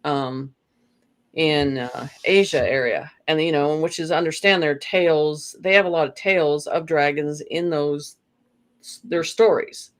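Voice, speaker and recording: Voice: medium pitch, measured pace. A guest in a casual spiritual conversation, rambling along with lots of "you know" and restarts.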